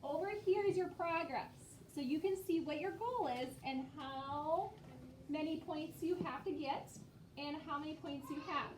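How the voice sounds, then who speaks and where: A woman's voice: high voice, measured pace. A woman teaching elementary children in a classroom, giving directive, step-by-step instructions. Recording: echoey room, distant mic, faint noise.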